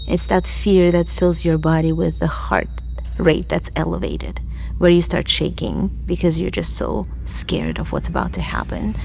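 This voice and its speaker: A woman who grew up in Azerbaijan and Russia gives a personal testimony. Her voice sits fairly low with noticeable vocal fry, and she speaks in a reflective, deliberate way over soft background music. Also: big pitch swings. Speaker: church member giving testimony.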